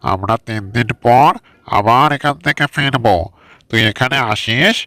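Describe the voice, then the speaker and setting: A man voicing a blustery, gruff ogre husband in a cartoon story, with emphatic delivery. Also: mid-range voice, measured pace, bright, forceful tone, no room sound, clean studio sound. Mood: pleased, kindly. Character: gruff man-eating ogre husband, grateful yet menacing.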